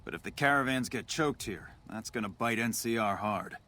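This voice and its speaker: An actor voicing a frustrated, dutiful military officer in a video game, with a clipped, emphatic, bureaucratic delivery. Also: male voice, on the low side, moderate pace, bright, forceful tone, a little hiss or hum. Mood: resigned, weary. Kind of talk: video game character dialogue.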